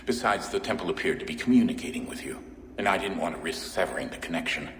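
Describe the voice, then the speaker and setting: A man's voice: low-pitched, animated, fast talker, a little hiss or hum. An actor voicing a stern, pragmatic middle-aged father in a video game, grave and detached. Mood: grave, guarded. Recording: echoey reverberant hall, faint music.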